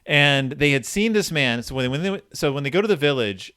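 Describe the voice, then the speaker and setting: A man's voice: fairly high pitch, expressive, fairly quick, sharp tone, smooth, dry recording, studio quality. A man hosting a podcast, casually and enthusiastically retelling and discussing a story, sometimes reading passages aloud. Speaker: podcast host.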